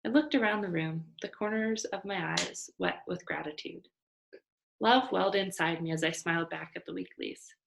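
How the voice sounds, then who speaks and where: A woman reading a children's novel aloud as an expressive storyteller. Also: fairly low pitch, moderate pace, gravelly. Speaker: storybook reader.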